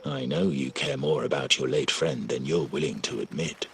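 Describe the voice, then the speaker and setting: Very husky, deep crime boss. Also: male voice, steady tone, fairly bright tone, exaggerated voice. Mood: coolly persuasive. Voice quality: husky, rough. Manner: cold, commanding, deliberate. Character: ruthless, calculating crime family boss, cold and commanding. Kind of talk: dramatic video game voice acting.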